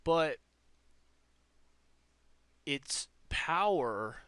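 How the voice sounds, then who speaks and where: A man's voice: fairly high pitch, animated, very slow, a bit bright, clear, resonant tone, no echo. A man presenting a tech demo in a casual, informal way, thinking aloud as he goes. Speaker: presenter demonstrating an AI prototype.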